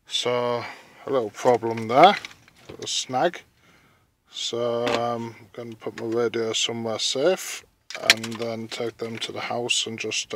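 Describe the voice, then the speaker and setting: A man giving casual, halting hands-on commentary as he thinks aloud through a DIY job, recorded on a handheld phone. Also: medium pitch, noisy background. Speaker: vlogger narrating a DIY car radio install.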